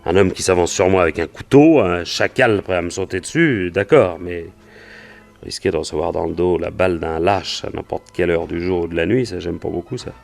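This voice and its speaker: A man's voice: low voice, brisk, creaky. An actor playing a wary, plain-spoken cowboy and gold prospector in a French radio detective drama, telling his story in colloquial speech. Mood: uneasy, wary.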